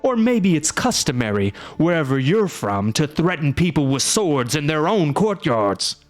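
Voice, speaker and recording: A man's voice: fairly high pitch, big pitch swings, measured pace, soft background music, pitched above the performer's own voice. A man voicing a huge, bear-headed male monster who roars threats and grumbles indignantly. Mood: blustering grumble. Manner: roaring, bluster turning to grumbling.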